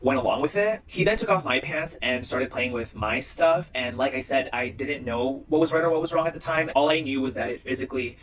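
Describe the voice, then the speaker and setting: A man's voice: mid-range voice, fairly quick, hardly any pauses, a bit bright, low-quality recording. A man from Indonesia talking casually to the camera in short social media clips, with a chatty style full of "like". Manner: chatty, heavy use of "like".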